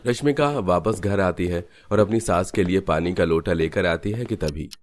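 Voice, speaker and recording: A man's voice: sits low, normal speed. A man narrating a scripted cartoon family story.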